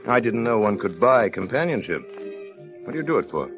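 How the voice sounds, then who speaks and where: An actor playing a brusque, brooding middle-aged widower in a 1940s radio drama, abrupt and imperious in manner. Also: male voice, mid-range voice, sing-song, fairly quick. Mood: wry. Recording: dull vintage radio recording, music behind.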